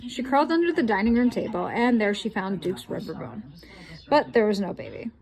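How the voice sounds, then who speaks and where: A woman reading a children's picture book aloud in a slow, expressive storytelling manner. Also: medium pitch, rough recording.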